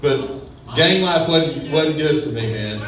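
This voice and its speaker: A man's voice: a little high, lively intonation, slow pace, background noise. A man from Texas with a West Texas accent, doing a self-deprecating, laid-back stand-up comedy set on a club stage. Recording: echoey club sound from far off with a crowd.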